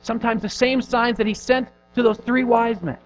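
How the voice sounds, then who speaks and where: A man in his thirties preaching a sermon in an earnest, conversational way, pushing hard on some phrases and dropping to a hush on others. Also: extremely high pitch, steady tone, normal speed. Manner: earnest, conversational preaching with rising and falling emphasis. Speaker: pastor. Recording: dull lo-fi recording with faint music.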